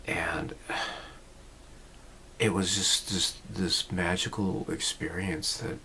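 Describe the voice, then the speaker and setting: A man's voice: low-pitched, steady tone, slow pace, creaky, sharp tone, slight background noise. A man in his forties talking casually to camera, rambling and reflective, with many fillers and restarts. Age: 40s. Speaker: channel host. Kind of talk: casual personal vlog talk.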